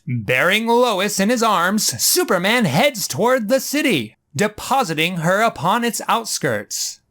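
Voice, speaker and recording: A man's voice: high-pitched, unhurried. A man narrating a comic book dub with dramatic flair, dropping casual asides. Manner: lively storyteller, casual in asides.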